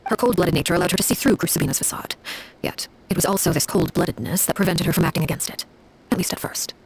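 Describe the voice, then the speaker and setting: An actress playing a cold, composed woman of high rank in a video game, telling grim history in a measured, formal, unsentimental way. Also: creaky, slightly rough. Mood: somber, measured. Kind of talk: scripted dramatic game dialogue. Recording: music behind the voice.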